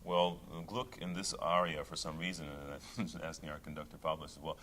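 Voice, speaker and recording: A middle-aged male opera singer talking in a relaxed interview, thoughtful, with many fillers and restarts. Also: extremely low pitch, brisk, a little hiss or hum. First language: English. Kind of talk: casual interview talk.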